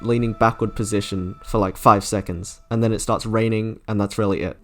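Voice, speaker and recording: A man narrating a scripted video game review as an online reviewer. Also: medium pitch. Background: faint music.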